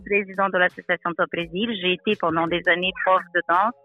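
A woman's voice: lowish voice, fairly even intonation, brisk, narrow, tinny audio, soft background music. A woman from Brazil with a Brazilian accent, a shop owner giving a radio interview, hesitant with many fillers. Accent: Brazilian Portuguese. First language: Portuguese. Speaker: shop owner and association president.

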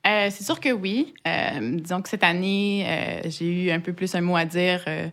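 Give me a woman with a Canadian French accent answering casually in an interview, full of pauses and fillers. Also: lowish voice, fairly bright tone. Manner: hesitant, many fillers, bubbly laughs. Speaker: guest, basketball player's wife.

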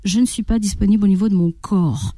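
A woman's voice: medium pitch, quick pace, dry recording. A middle-aged woman giving parenting advice as an interview guest, talking expressively and acting out the inner voices of stressed parents. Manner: animated, acts out inner voices.

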